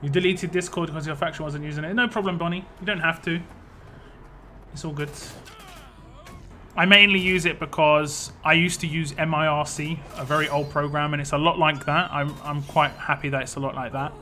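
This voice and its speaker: A man's voice: fairly high pitch, steady tone, a little fast, pushed and bright, soft background music. A man casually chatting on a live stream, reading viewer comments aloud and answering them as he plays. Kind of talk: casual live stream chat while playing a mobile game.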